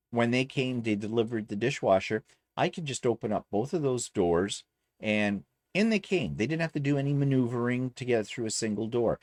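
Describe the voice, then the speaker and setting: A man's voice: lowish voice, darkish tone, no echo, clean studio sound. A man from Canada chatting casually in a home vlog, rambling with self-deprecating asides from his sewing room. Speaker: quilting vlogger.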